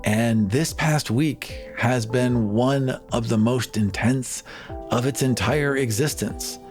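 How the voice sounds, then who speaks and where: A man's voice: medium pitch, darkish tone. A man improvising as an evasive colony leader in a tabletop game, putting a reassuring, polished spin on bad news. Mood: earnest, rallying.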